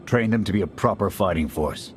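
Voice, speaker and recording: An actor playing a gruff, blunt military squad leader in a video game, terse and stern in his delivery. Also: lowish voice, fairly quick, slight background noise, lightly acted. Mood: firm, urgent.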